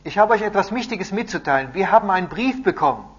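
Man voicing an excited, high-pitched messenger. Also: fast, husky. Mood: excited, urgent. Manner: loud, emphatic calling to a crowd. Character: excited male messenger calling out important news. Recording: muffled audio with hum and noise.

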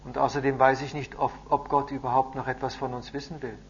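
A man's voice: medium pitch, flat delivery, quick pace, natural voice. Man reading as a subdued, sorrowful old grandfather. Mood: sorrowful, resigned. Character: sad, resigned old Israelite grandfather in exile. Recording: muffled audio with hum and noise.

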